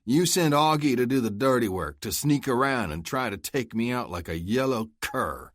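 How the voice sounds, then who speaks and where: A man's voice: mid-range voice, dry recording, clean studio sound, voice pushed up, close to an everyday voice. A man voicing a cool bounty hunter who goads and taunts his foe. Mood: goading. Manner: taunting, goading, steady.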